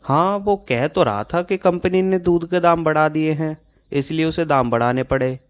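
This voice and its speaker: A man's voice: a little high, normal speed, clean tone, close to an everyday voice. A man playing a trusting, mild-mannered male neighbour in a Hindi moral-story cartoon. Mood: disbelieving. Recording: music behind the voice.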